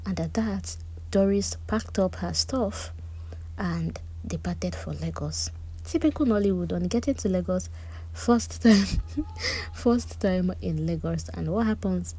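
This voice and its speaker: A woman gives a chatty, animated recap of a reality-show drama, with personal asides. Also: lowish voice, measured pace, breathy edge. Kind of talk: casual recap commentary.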